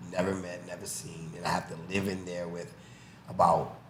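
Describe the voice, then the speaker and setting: A man's voice: deep voice, flat delivery, moderate pace. A man speaking casually and emphatically as a boxing coach and podcast guest, telling personal stories and explaining with examples. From born in the US, early childhood in Haiti, then raised in New York. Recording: echoey room with faint background noise.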